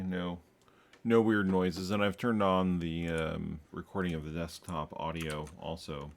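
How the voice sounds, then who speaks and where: A man's voice: a bass voice, creaky, slight background noise. A man narrating his own programming as he works, thinking aloud with wry asides and frequent self-corrections. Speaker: programmer streaming his coding. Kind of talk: thinking aloud while coding. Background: faint keyboard typing.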